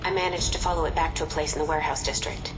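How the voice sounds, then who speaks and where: An actress playing a composed, caring young female intelligence handler in a video game, calm and assured. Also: low-pitched, rapid-fire, music in the background, natural voice, low-quality recording. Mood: calm, teasing. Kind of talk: dramatic video game dialogue.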